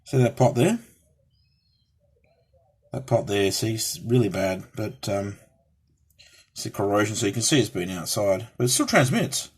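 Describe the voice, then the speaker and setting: A man with a fairly low, slightly husky voice talks casually through a hands-on hobby demonstration. He rambles in an unscripted, halting way, as if explaining things while he handles them. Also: animated. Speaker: hobbyist presenter showing a restored CB radio. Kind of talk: casual hands-on show-and-tell.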